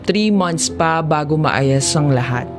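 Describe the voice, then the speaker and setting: A woman with a Filipino accent narrates a first-person life story in a measured, confessional audiobook drama style. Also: low voice, lively intonation, music in the background. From Philippines.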